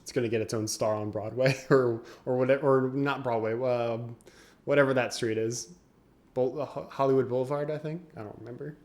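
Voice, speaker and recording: A man's voice: medium pitch, steady tone, brisk. A young man co-hosting a podcast, talking casually and animatedly, laughing often and acting out quotes. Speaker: podcast co-host. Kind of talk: casual chat.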